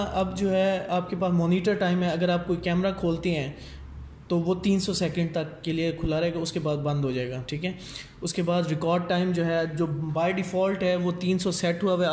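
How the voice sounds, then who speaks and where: A man's voice: high-pitched, a little mellow. A man giving a casual step-by-step technical tutorial, mixing in English terms and often checking in as he instructs. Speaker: technical trainer. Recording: echoey room with faint background noise.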